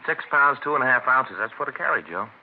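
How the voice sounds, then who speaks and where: An actor playing a grumbling, methodical American police detective partner in a conversational 1950s radio drama. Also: male voice, medium pitch, animated, fast, close to an everyday voice. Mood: absorbed, grumbling. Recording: noisy, muffled vintage radio recording.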